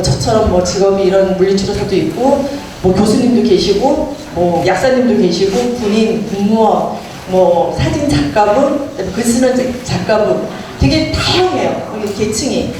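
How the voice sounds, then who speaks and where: A woman's voice: a little high, darkish tone. A middle-aged woman with a Gangwon Korean accent, chatting as a guest speaker about a local club and telling her story with many fillers. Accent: Gangwon Korean dialect. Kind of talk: casual talk to a gathering. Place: community meeting room. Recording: echoey, distant, noisy room.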